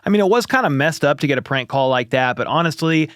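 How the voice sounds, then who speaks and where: A man's voice: a little high, lively intonation, fast talker, a bit bright, no room sound, clean studio sound. A man hosting a football news show, giving conversational, opinionated commentary in a casual style.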